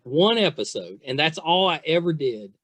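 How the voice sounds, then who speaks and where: A woman's voice: low-pitched, very animated, normal speed. An American woman hosting a podcast, interviewing in an agreeable, casual, chatty way.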